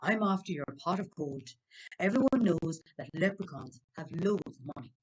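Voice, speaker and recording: A woman's voice: on the low side, expressive, normal speed, husky, rough recording. A woman voicing a greedy, hot-tempered male farmer in a storybook, blunt and demanding. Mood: sly, blunt. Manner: blunt, demanding, roaring when angered.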